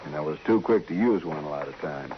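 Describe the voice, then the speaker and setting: A low-pitched cowboy, proud but troubled, a gunfighter who gave it up. He talks in plain, folksy rustic phrases. The sound is a muffled vintage radio western with some hiss. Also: animated, fast talker. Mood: uneasy, confessing. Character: troubled reformed gunfighter, rancher and father, proud, anxious. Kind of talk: old-time radio western acting. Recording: muffled, noisy old radio recording.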